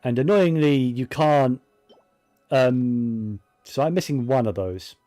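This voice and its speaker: A man's voice: medium pitch, slow, warm tone, dry recording, soft background music. A man giving casual video game commentary, musing and thinking aloud to himself as he plays.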